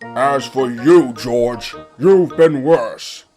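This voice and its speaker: A man voicing a stern, measured railway controller in an amateur cartoon comic dub, scolding like a figure of authority. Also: medium pitch, darkish tone, soft background music. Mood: stern, disappointed.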